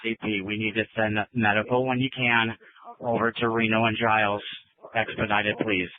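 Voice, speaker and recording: A man's voice: lowish voice, flat delivery, normal speed, dry recording. Male officer on a tinny police radio. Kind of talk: emergency radio traffic.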